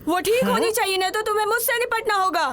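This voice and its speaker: High-voiced, stubborn young cartoon heroine. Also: female voice, fast, fairly bright tone, a little hiss or hum. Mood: determined. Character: brave stubborn kind young empress-to-be, a girl. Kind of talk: Hindi cartoon dubbing. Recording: music behind the voice.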